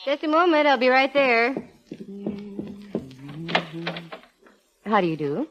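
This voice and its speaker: A woman's voice: high-pitched, sing-song, a little fast, a bit bright, faint music behind. An American actress playing a breezy, wisecracking female private investigator in a 1950s radio drama, with a wry delivery. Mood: brisk, friendly. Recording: dull vintage radio recording.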